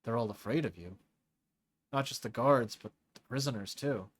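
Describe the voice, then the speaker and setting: A man's voice: medium pitch, moderate pace, natural voice. A man voicing a wary male lawman who asks dry, understated questions.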